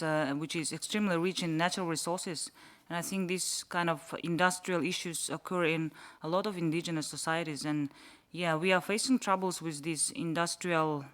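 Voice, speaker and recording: A man from Yakutia whose first language is Sakha, speaking haltingly as a panelist in a panel discussion and searching for words. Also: on the high side, pushed and bright, clear, resonant tone. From Yakutia, Russia.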